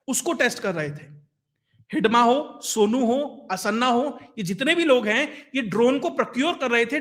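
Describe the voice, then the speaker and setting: A man's voice: very high-pitched, sharp tone. A man giving conversational, explanatory news commentary, emphatic, with rhetorical questions and lists. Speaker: commentator and host of an online current affairs show.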